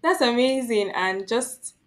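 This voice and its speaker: A woman's voice: on the high side, expressive, a little slow. Young woman host, friendly and upbeat, close studio mic at home. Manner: friendly, upbeat, with brief encouraging backchannels. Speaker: podcast host. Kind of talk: interview hosting. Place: home room with art on the wall. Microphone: large studio microphone with headphones.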